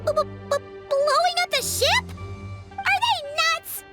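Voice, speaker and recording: A woman voicing a tiny floating fairy-like companion in a video game, chatty and quick to worry, who speaks of herself in the third person. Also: very high-pitched, bright, forceful tone, faint music behind, full character voice. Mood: shocked.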